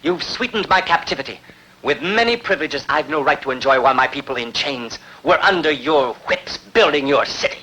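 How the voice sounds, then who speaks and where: A man's voice: fairly high pitch, animated, brisk, pushed and bright, breathy edge, exaggerated voice, rough recording. A man playing a proud, defiant captive and bitter lover in a dubbed historical epic film, speaking intensely and theatrically. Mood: bitter, anguished.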